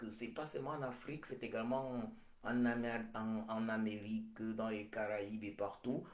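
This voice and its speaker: A man, giving a slow, repetitive prophetic address full of hesitations. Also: medium pitch, gravelly, a little mellow, echoey room. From Cameroon. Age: 40s. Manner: slow, repetitive, hesitant with many pauses and fillers. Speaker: political speaker.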